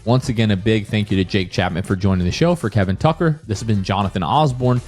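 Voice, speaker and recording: An American man with a fairly low voice hosts a sports podcast. He talks in a casual, conversational style. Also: quick pace, a little mellow, soft background music.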